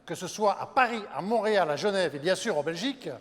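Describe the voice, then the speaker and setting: A man's voice: high-pitched, animated, quick pace, big-room sound. A man introducing the next act at a comedy gala, announcing in a formal stage style. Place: large theater.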